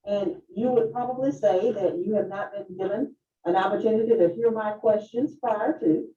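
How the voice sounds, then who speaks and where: A woman of fifty-five moderating a panel in a formal, deliberate and courteous way. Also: mid-range voice, mellow. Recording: distant, roomy, dull lo-fi video sound.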